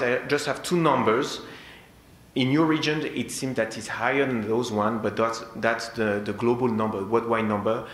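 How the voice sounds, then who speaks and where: A man with a strong French accent giving a conference presentation, halting, with many fillers and repeated words. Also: medium pitch, a bit bright. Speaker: conference speaker. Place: conference hall. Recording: echoey hall with faint noise.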